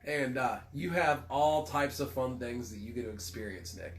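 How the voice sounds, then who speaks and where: A man's voice: mid-range voice, normal speed. A man with a cold, casually co-hosting a livestream, rambling, swearing and cracking self-deprecating jokes. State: congested with a cold. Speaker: livestream co-host.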